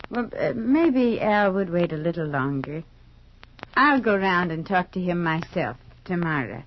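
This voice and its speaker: A woman's voice: on the low side, lively intonation, measured pace. An actress playing a kindly, patient older small-town mother in a 1950s radio soap, speaking in a folksy, hesitant, soothing way. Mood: concerned. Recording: dull, noisy 1950s radio recording.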